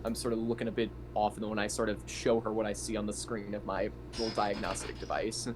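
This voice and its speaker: Brief: male player, slightly low pitch, hesitant improvised roleplay, clearly noisy home mic. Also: flat delivery, quick pace. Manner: hesitant, thinking aloud. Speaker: player in a tabletop roleplaying game. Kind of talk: casual improvised roleplay talk. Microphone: online voice chat mic.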